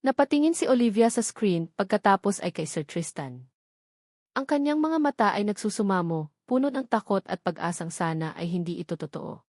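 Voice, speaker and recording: A woman's voice: mid-range voice, lively intonation, moderate pace, darkish tone, no echo, clean studio sound. Synthetic woman narrating a drama. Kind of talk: scripted story narration.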